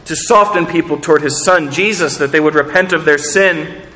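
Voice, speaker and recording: A man's voice: a little high, normal speed, sharp tone, in a large hall, rough recording. A man preaching a sermon, earnest, asking rhetorical questions and repeating words as he builds each point. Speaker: pastor.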